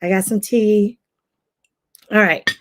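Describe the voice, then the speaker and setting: Hoarse woman hosting a chatty livestream. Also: medium pitch, steady tone, slow. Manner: greets viewers by name, chatty. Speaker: life coach hosting a livestream. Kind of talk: casual livestream chat.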